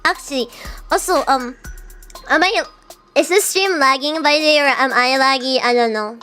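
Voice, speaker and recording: High-voiced young woman streamer. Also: moderate pace, sharp tone. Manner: chatty, answers chat, self-mocking. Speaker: VTuber streamer. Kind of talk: casual livestream chat. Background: faint background music.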